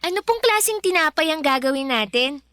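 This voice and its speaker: A woman's voice: high-pitched, expressive, brisk, fairly bright tone, no echo, clean studio sound. A woman playing a polite, eager young schoolgirl in a Filipino radio drama. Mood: eager, curious. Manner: polite, deferential.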